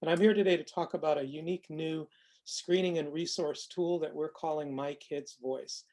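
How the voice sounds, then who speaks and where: Higher-voiced man presenting a webinar, halting delivery. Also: steady tone, darkish tone. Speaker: presenter of a screening tool demo. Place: online video call.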